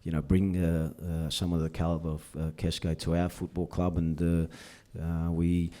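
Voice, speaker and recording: A man's voice: low-pitched, monotone, moderate pace, mellow. A middle-aged man, a club executive answering press questions with many hesitant fillers. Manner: hesitant, full of uh and you know fillers.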